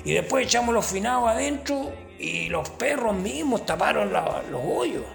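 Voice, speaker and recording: A man's voice: high-pitched, big pitch swings, moderate pace, creaky, soft background music, heavily acted. An actor playing a rascally old Chilean working man and former gravedigger, tipsy, with a Chilean accent, telling folksy slangy boasts. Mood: boastful. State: tipsy from drinking. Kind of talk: comic character interview.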